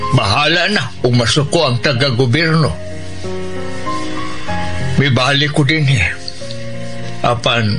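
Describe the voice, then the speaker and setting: An actor playing a gentle old widower who lives at a dumpsite, speaking hesitantly with a Filipino Bisaya accent in a radio drama. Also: male voice, on the high side. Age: older. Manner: halting, hesitant delivery. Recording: music behind the voice.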